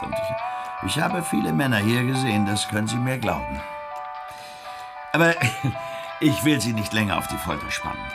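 An actor playing a jovial, self-satisfied prison director in a radio drama, speaking haltingly and chattily. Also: male voice, deep voice, vocal fry, heavily acted. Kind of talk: German radio drama acting.

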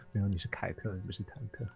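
A man speaking as a guest in a casual panel chat, explaining things haltingly. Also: on the low side, creaky, slightly rough, muffled recording. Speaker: interview guest, voice drama performer. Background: faint music.

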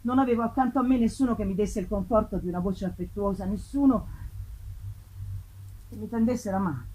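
A woman's voice: mid-range voice, mellow, low-quality recording. Theatrical woman reading a gothic novel. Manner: theatrical, deliberate, emphatic swells and drops. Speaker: narrator, reader. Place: live festival performance. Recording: live reading with faint ambient noise.

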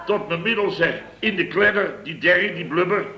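An actor playing a blustering middle-aged contractor boss who rambles through an exasperated tale, in 1960s radio comedy acting. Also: male voice, sits high, normal speed, reverberant, muffled recording, natural voice. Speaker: construction firm boss.

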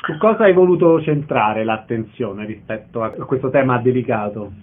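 A man's voice: medium pitch, animated, moderate pace. A man working as a radio interviewer, putting short prepared questions to a guest. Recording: narrow phone-like radio sound.

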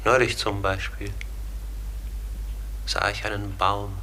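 A melancholy dreamer of a man in a radio play. His voice is deep and very sharp-edged, with gravel in it. He ponders haltingly and often fades toward a whisper, over a faint noisy background. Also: little pitch movement. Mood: wistful, hushed. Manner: halting, pensive, often sinking into a near-whisper. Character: melancholy brooding man who believes he was a raven. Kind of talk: German radio play acting.

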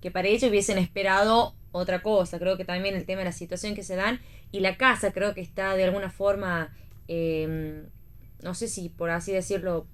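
A woman's voice: medium pitch, steady tone, normal speed. A woman with an Argentine accent in a casual radio discussion about theater, thinking aloud, hedging and rephrasing as she goes. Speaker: theater panelist.

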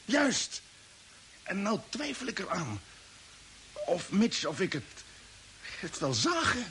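An actor playing an earnest space expedition commander in a science-fiction radio drama, talking in a questioning, hesitant way. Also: male voice, high voice, huge pitch swings, slow, fairly bright tone, full character voice. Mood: doubtful. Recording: hissy old radio drama recording.

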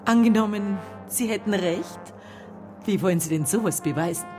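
An actress playing a sly, unrepentant widow under suspicion in a radio drama, speaking with a Bavarian accent in a dry, unflustered way. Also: female voice, moderate pace, over music, lightly acted. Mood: cool defiance. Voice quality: creaky, low-pitched. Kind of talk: naturalistic radio drama dialogue.

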